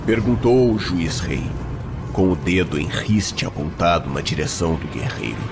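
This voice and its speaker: A man with a Brazilian accent giving grave, deliberate, dramatic narration of a fantasy story. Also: low voice, expressive, vocal fry, loud music behind the voice.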